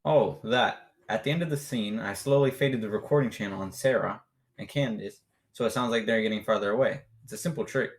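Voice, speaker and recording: A man's voice: fairly low pitch, brisk, close to an everyday voice. Young man playing an affable radio sound engineer. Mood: explanatory. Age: teenager or young adult. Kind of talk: staged radio play dialogue.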